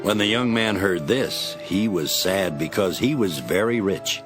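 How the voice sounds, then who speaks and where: A man's voice: low voice, lively intonation, unhurried, music in the background. A man acting as a sober storyteller, narrating a dramatized scripture from a script.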